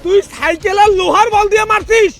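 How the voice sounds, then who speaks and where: Cocky village sidekick played by a man, extremely high voice, loud taunts, slapstick skit with clear music underneath. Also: fast, full character voice. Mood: surprised. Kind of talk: slapstick comedy skit acting. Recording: music behind the voice.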